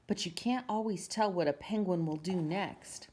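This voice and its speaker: A woman's voice: fairly low pitch, normal speed, dark tone. A woman voicing a mild, humble, patient man from a storybook, speaking politely. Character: mild, humble, patient husband with pet penguin. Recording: clear background noise.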